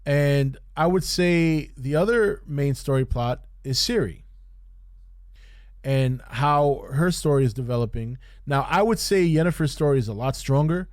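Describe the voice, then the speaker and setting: A man hosting a podcast, giving casual unscripted review commentary in a halting way, repeating phrases for emphasis. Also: on the high side, no echo, clean studio sound. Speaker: podcast host reviewing TV shows.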